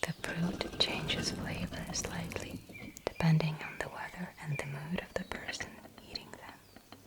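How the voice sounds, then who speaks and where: A woman playing a gentle, courteous inn hostess in a fantasy ASMR roleplay, speaking in a soft, breathy whisper with formal, measured phrasing. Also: lowish voice, fairly even intonation, close to an everyday voice. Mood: softly storytelling. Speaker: innkeeper character in roleplay.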